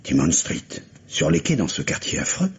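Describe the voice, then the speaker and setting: Bereaved husband, radio mystery: deep, distressed yet polite, slightly muffled vintage sound. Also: male voice, huge pitch swings, moderate pace, slightly rough, exaggerated voice. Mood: disbelieving, defensive. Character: bereaved husband, shaken, polite, defensive about his wife. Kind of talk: French radio mystery drama acting. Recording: muffled, noisy vintage radio drama recording.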